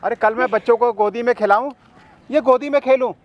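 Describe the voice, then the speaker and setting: A man's voice: extremely high pitch, fairly quick, highs cut off. An actor playing an older father-in-law who defends himself with whiny excuses. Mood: defensive.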